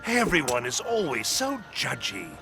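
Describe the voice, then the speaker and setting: An actor playing a manic, cackling villain clown, theatrical and mocking, with sinister drawn-out taunts. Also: male voice, mid-range voice, wildly swooping pitch, vocal fry. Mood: gleeful menace. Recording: game music behind the voice.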